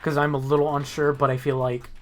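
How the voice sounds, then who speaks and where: A man's voice: a little high, little pitch movement, fast talker, faint music behind. A man hosting a playthrough, moving between narration and casual, sweary banter. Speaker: host reading a game aloud.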